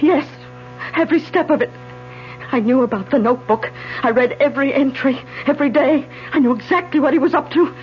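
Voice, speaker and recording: An actress playing a distraught, defiant wife in an old-time radio drama, tremulous and pleading. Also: female voice, high-pitched, brisk, natural voice. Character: distraught, defiant wife who killed her husband, unravelling. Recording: muffled vintage radio recording with music.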